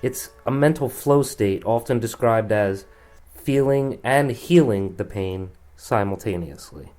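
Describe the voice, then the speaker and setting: A man delivering scripted spoken-word narration in a blunt, deadpan manner. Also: mid-range voice, measured pace, no room sound.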